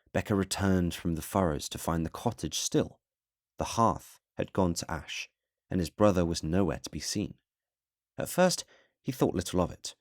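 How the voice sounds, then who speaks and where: A man with a deep voice narrates a fantasy tale as relaxing bedtime listening. His delivery is measured and solemn, with a literary storyteller's phrasing. The sound is very dry, free of room echo. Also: a little mellow.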